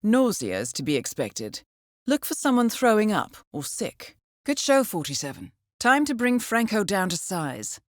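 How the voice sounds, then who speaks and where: A woman voicing a composed middle-aged intelligence handler in a video game, measured and formal with dry understatement. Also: mid-range voice, very animated, no room sound, close to an everyday voice. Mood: dryly amused. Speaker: mission handler. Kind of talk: scripted game voice acting, mission briefing.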